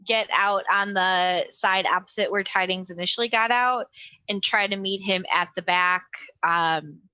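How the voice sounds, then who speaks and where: A woman's voice: mid-range voice, a little slow, bright, forceful tone, clean tone, no room sound, highs cut off. A woman chatting casually as a player in a tabletop roleplaying game.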